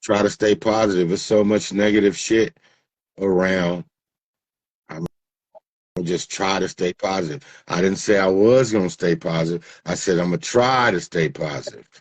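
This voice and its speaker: A man's voice: low voice, moderate pace, no room sound. A man in his fifties chatting casually as an interview guest, plain-spoken and slangy. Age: 50s. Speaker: music producer, interview guest. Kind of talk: casual chat.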